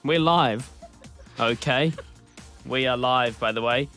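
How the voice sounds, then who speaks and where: A man's voice: mid-range voice, slow, bright, forceful tone, faint music behind. A man hosting a radio comedy skit, playing a clueless interviewer with mock seriousness. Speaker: radio host.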